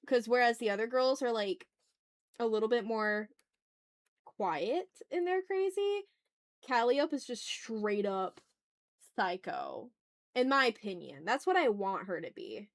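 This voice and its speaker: Higher-voiced woman chatting on an art stream. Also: expressive, a little slow. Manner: playful, talks to chat and thinks aloud. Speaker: art livestream host. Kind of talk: casual livestream chat while drawing.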